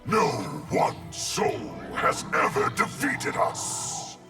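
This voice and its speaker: An actor playing a menacing collective of souls in one warrior, solemn and formal, in a fighting game. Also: male voice, very slow, shouting, recorded from a distance, over loud music. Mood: fierce, demanding. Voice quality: strained, gravelly. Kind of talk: fighting game character dialogue.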